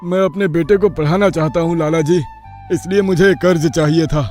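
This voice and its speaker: A poor village father acted out by a man, humble and deferential, with an earnest pleading manner. His voice sits fairly high, over soft music in a cartoon moral story. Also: fairly even intonation, normal speed, close to an everyday voice.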